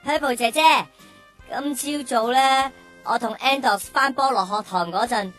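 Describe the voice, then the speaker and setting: Casting: a cheeky little cartoon bull calf, high-pitched, unhurried, melodramatic show-off. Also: female voice, a bit bright, soft background music. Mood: whiny, dramatic. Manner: whiny, exaggerated. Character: cheeky young male cartoon cow, whiny show-off. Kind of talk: Cantonese children's radio drama acting.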